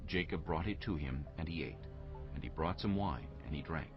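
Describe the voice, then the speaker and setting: A man's voice: extremely low pitch, moderate pace. A man giving scripted narration of an audio Bible story as its narrator. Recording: muffled audio with music behind.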